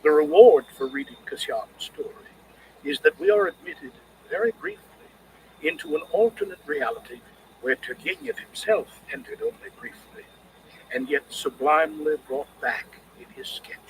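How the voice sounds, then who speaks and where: A man reading scripted literary criticism as an audiobook narrator, in a measured, expressive way. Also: fairly high pitch, slight background noise, rough recording.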